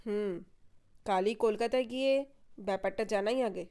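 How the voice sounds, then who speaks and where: A woman's voice: medium pitch, moderate pace, mellow, lightly acted. Woman voicing a questioning senior male sleuth. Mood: decisive.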